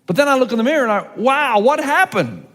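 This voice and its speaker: A man in his fifties, a pastor, preaching a sermon with animated, joking delivery and rhetorical questions. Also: very high voice, quick pace, big-room sound. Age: 50s.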